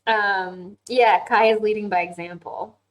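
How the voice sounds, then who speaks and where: A woman's voice: mid-range voice, steady tone, unhurried, rough recording. A woman hosting a casual live online class, reading viewer comments and talking them through in a chatty, candid way. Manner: chatty and candid, with frequent um fillers and easy laughs.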